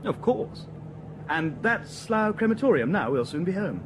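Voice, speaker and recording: A man's voice: high voice, very animated, unhurried, noisy background. An actor playing a complacent young man in a radio drama, speaking in a self-assured, matter-of-fact way. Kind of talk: BBC radio drama acting.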